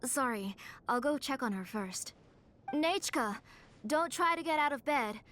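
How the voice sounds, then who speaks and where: An actress playing a gentle, caring, apologetic mother in a video game. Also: high-pitched, lively intonation, measured pace. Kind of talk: video game dialogue acting.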